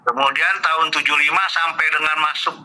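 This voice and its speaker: A man's voice: fairly high pitch, level tone, a little fast, sharp tone, slight background noise. A middle-aged man from Indonesia, a guest in a casual alumni chat, who rambles and corrects himself as he talks. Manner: rambling, self-correcting, chuckling.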